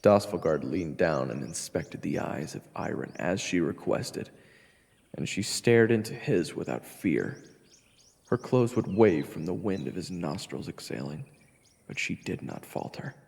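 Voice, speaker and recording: A man narrates a fantasy audio drama in a low, gravelly voice with lively intonation. A long reverb trails his words, and music plays behind him. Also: unhurried. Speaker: narrator and character in the story.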